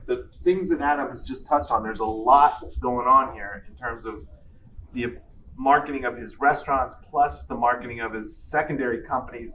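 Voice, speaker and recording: A man's voice: mid-range voice, pushed and bright. A man moderating a classroom lecture informally as the instructor, recorded at a distance in a noisy room. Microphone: far from the speaker.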